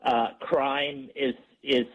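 A man speaking as a guest expert on a radio talk show. He talks in an earnest, halting way, with many restarts. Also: mid-range voice, level tone, fairly bright tone, low-quality recording. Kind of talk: radio interview.

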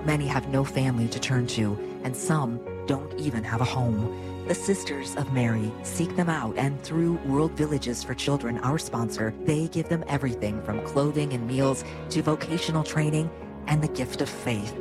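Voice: mid-range voice, moderate pace, loud music behind the voice. An announcer reading a charity appeal for the radio in an earnest manner.

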